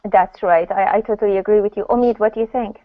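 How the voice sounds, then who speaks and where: A woman moderating a video panel, with Russian as her first language, asking questions and steering the talk in a brisk, businesslike way. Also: medium pitch, steady tone, no echo. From Iran. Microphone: video call. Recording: tinny phone-like video call audio.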